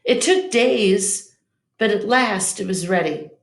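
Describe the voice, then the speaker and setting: A woman's voice: medium pitch, slow, gravelly. A woman reads a storybook aloud for children in an echoey room. She takes her time, narrating expressively like a teacher in front of young listeners. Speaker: teacher reading a picture book aloud.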